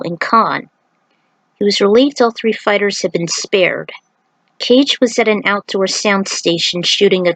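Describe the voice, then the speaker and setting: A woman's voice: mid-range voice, dry recording, poor audio. A woman narrating a scripted story, occasionally stumbling over words as she reads. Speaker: narrator reading own fan fiction.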